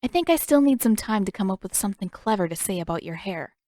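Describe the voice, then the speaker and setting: A woman voicing a brisk, confident, teasing teenage girl in an audiobook story, with a sardonic manner. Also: mid-range voice, animated, warm tone, dry recording, clean studio sound, lightly acted. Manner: sardonic, dismissive.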